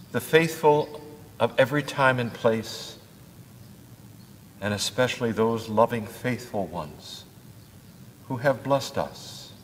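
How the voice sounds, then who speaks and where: An older man, a pastor, leading prayers in a church in a slow, measured liturgical cadence. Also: medium pitch, a little hiss or hum. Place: church sanctuary at a lectern. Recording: church hall with long reverb.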